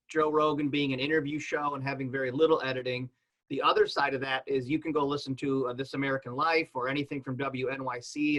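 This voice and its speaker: A man's voice: on the high side, fairly bright tone, poor audio. A man giving casual, conversational explanations as a podcaster on a panel, illustrating points with examples.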